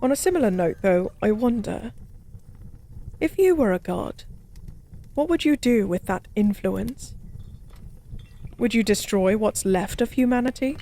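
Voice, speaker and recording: A woman playing a forgotten goddess as a tipsy pub stranger in an intimate audio roleplay, speaking softly in slow, wistful philosophical rambles. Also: medium pitch, animated. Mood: curious, playful. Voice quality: soft. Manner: slow, musing, rambling, teasingly cryptic.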